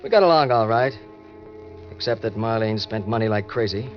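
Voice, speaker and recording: Actor as a low-voiced hard-boiled schemer. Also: male voice, animated, moderate pace, close to an everyday voice. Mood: cynical, tense. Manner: clipped, slangy, cynical. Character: hard-boiled murderous schemer, cynical and edgy. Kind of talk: old-time radio crime narration and acting. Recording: dull vintage radio recording, music.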